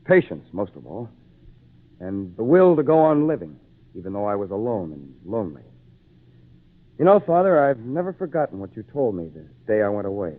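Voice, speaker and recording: A gallant, commanding castaway with an earnest, declamatory delivery that rises and falls widely. It is old radio drama with a narrow, tinny sound. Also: male voice, on the low side, sing-song, moderate pace. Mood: tender, reflective. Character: resolute long-marooned castaway, gallant and commanding. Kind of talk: 1940s radio drama acting.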